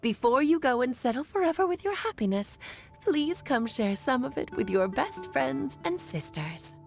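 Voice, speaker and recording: A wise, playful woman mage who has lived for centuries in a fantasy video game. Her voice sits fairly high and moves in lively swoops, with theatrical pauses on key words, over soft music. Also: gravelly, full character voice. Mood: warm, reflective. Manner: theatrical storytelling, unhurried emphatic pauses.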